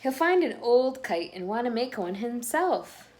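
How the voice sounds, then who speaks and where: A woman reading a children's picture book aloud expressively, pausing between phrases with dramatic emphasis. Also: a little high, very animated, a little mellow. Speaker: children's read-aloud host.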